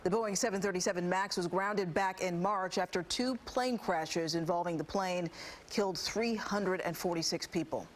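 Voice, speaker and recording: A woman's voice: lowish voice, moderate pace, a little hiss or hum. A woman with an American accent reading scripted news as an anchor, in a formal, polished broadcast delivery. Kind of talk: scripted TV newscast narration.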